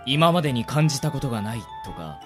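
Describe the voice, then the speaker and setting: A man plays a sociable but weary young man in a radio drama. His mid-range voice is animated and casual, with soft music behind it. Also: mid-range voice, fast talker, natural voice. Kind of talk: amateur radio drama acting.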